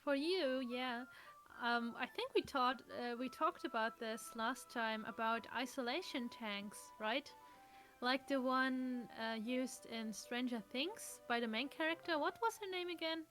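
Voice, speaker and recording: Female let's play co-host, slightly high voice, casual and thoughtful explainer, faint music behind. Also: a little slow, a bit bright. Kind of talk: casual chat.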